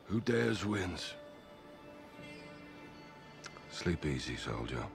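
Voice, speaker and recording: Grim, low British military captain. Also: male voice, sing-song, measured pace, gravelly, exaggerated voice. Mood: solemn. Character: grim, battle-hardened male special forces captain. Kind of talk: cinematic military game dialogue. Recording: dramatic music behind the voice.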